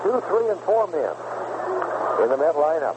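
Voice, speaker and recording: An American man calling baseball play-by-play on 1970s radio in short, unhurried phrases with pauses. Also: fairly high pitch, very animated. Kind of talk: live radio sports commentary, 1970s. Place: ballpark broadcast booth. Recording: dull, very noisy old AM radio broadcast.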